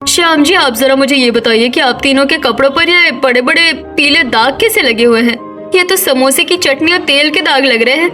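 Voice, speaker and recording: A woman's voice: high-pitched, normal speed, sharp tone, soft background music. A woman voicing a pointed, complaining young village daughter-in-law in a cartoon story. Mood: accusing, sarcastic. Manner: pointed, plaintive.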